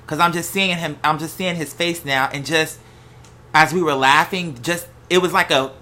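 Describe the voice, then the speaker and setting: An American host talking in a casual, unscripted monologue, with an animated, sassy, slangy way of telling stories. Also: mid-range voice, normal speed. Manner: animated, sassy, slangy storytelling with acted-out quotes. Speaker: host of a gossip and commentary show.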